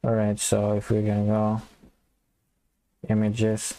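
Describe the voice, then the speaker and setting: A man teaching a coding lesson, thinking aloud and restarting phrases as he types, his voice slightly hoarse. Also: lowish voice, completely flat delivery, takes it slowly, warm tone, no room sound. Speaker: programming tutor. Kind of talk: casual screen-recorded coding tutorial.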